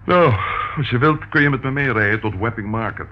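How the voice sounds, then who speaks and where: An actor playing a genial, brisk policeman in a radio play, with a hearty, avuncular manner. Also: medium pitch, lightly acted. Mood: hearty, friendly. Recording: dull, faintly noisy old radio recording.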